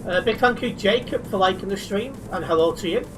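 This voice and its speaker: Chatty high-voiced man live-streaming. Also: brisk, over music, low-quality recording. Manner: chatty, reads out chat, thanks viewers. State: sleep deprived. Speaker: game streamer. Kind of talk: casual live-stream chat while driving.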